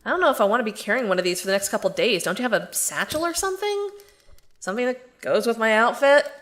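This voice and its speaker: A woman's voice: on the high side, lively intonation, quick pace, a bit bright. A woman playing a plucky, wry young girl who narrates and acts in a fantasy audio drama. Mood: hesitant, wry. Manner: wry, colloquial, sardonic.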